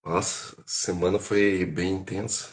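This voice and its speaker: A hoarse man from Curitiba with a Brazilian Portuguese accent, co-hosting a casual live chat in a relaxed, jokey way. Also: deep voice, unhurried. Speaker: live show co-host.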